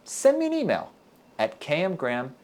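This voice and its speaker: Earnest, lively-voiced male lecturer. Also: on the high side. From Texas. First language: English. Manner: measured, earnest, deliberate delivery. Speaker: university lecturer. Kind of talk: scripted video lecture to camera.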